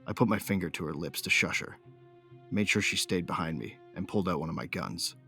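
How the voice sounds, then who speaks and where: Deep-voiced cocky bounty hunter, acted by a man. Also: fairly quick, sharp tone, faint music behind. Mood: tense, grim. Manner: brash, colloquial, hard-boiled. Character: cocky, hot-tempered bounty hunter narrating his story. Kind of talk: first-person dramatised audiobook narration.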